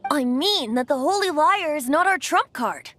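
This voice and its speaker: A high, boyish, theatrical bard. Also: female voice, expressive, normal speed, music in the background. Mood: earnest. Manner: theatrical, airy, flowery. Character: youthful boyish male bard, a playful evasive god. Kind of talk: video game voice acting.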